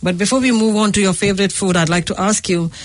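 A man's voice: sits high, fast talker, no echo. A man hosting a radio interview, encouraging his guest and repeating her words back to her. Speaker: radio host.

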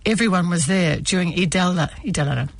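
Husky woman reading calmly in a dry studio. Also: fairly low pitch, dry recording. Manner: calm, measured reading. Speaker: radio broadcaster. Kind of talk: scripted first-person reading.

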